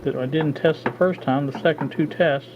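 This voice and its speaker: A man demonstrating his work at a home workbench, narrating casually as he goes and often pausing with a halting "uh" while he thinks aloud. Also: on the high side. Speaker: hobbyist radio restorer. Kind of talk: casual hands-on demo narration. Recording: dull lo-fi with steady background noise.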